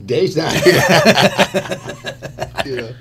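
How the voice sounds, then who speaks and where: A man's voice: a little high, expressive, extremely slow, fairly bright tone. A middle-aged man chatting casually and enthusiastically, telling rambling stories as a musician guest on a radio show. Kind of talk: casual chat. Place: small radio studio.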